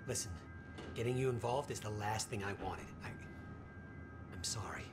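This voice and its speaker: A man's voice: lowish voice, moderate pace, noisy background, lightly acted. An actor playing an anxious, exasperated scientist in a video game, agitated and sardonic as he explains technical things. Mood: agitated, regretful.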